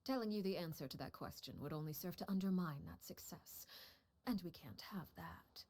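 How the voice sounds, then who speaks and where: An actress playing a cold, composed woman who leads a household of spies, speaking in a measured, quietly menacing way. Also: lowish voice, natural voice. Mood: cool. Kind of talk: video game dialogue. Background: game music.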